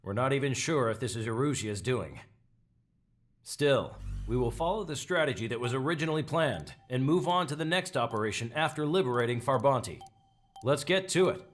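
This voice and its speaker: A man's voice: mid-range voice, animated, a little fast, faint music behind, close to an everyday voice. A man playing a composed, formal military officer delivering a businesslike mission briefing in a video game.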